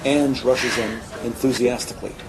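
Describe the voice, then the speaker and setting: A man's voice: mid-range voice, steady tone, moderate pace, poor audio. A man narrating an audio drama in a scripted, descriptive storytelling style.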